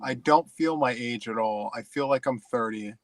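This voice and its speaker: A man in his forties on a live panel, giving brief, warmly impressed replies. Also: medium pitch, moderate pace, crowd noise. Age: 40s. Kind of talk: casual live panel chat.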